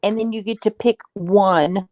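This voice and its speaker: A woman's voice: medium pitch, steady tone, unhurried, darkish tone. A woman co-hosting a live craft stream, greeting viewers by name and teasing her partner. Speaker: co-host reading viewer comments. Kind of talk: casual live chat.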